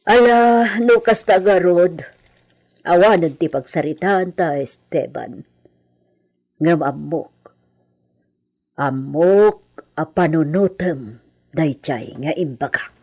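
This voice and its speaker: A woman playing a stern, worried elderly mother of a hacienda family in an Ilocano radio drama, speaking deliberately with dramatic pauses. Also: on the low side, darkish tone. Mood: firm.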